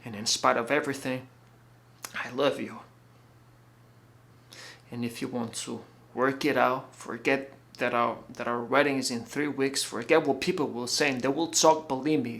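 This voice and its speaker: A man's voice: medium pitch, steady tone, moderate pace, creaky, a bit bright. A man playing a hurt, jealous young fiancé in a romantic drama monologue, earnest and insistent. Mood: pleading. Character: wounded, jealous young fiancé, pleading yet proud.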